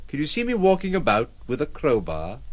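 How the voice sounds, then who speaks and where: An actor playing an ironic, world-weary gentleman with an urbane, theatrical manner in an audio drama. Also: on the high side, big pitch swings, normal speed. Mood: sardonic agitation. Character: ironic, world-weary gentleman recluse, gallant but fatalistic. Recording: clear background noise and ambience.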